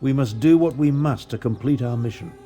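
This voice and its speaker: An actor playing a composed, principled covert operative in a fantasy video game, briefing an ally in a measured, formal, cautious manner. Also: male voice, medium pitch, mellow, soft background music, lightly acted. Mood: grave, cautious.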